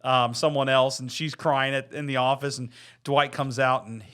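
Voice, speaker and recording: Forceful, bright-voiced man chatting on a podcast. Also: medium pitch, steady tone, normal speed, clean tone. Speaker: podcast co-host. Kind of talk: casual chat.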